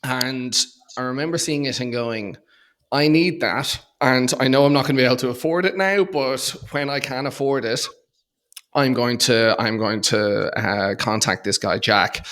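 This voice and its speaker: A man's voice: medium pitch, normal speed, fairly bright tone. A man with an Irish accent speaking conversationally as an interview guest, in a hesitant way with repeated words. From Dublin, Ireland. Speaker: podcast guest, accountant and entrepreneur.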